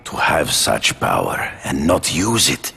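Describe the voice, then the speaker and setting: Imperious game villain: cavernous, gravel-throated hunter, slow and deliberate, cold and proud. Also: male voice, a bass voice, huge pitch swings, sharp tone, a little hiss or hum, full character voice. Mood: philosophical. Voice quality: gravelly, growling. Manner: formal, deliberate, theatrical. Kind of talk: video game dramatic acting.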